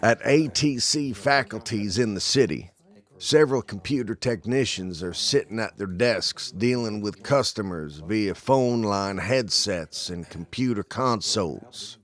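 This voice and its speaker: A man's voice: fairly low pitch, expressive, unhurried. A man reading scripted narration for an audio drama as its narrator.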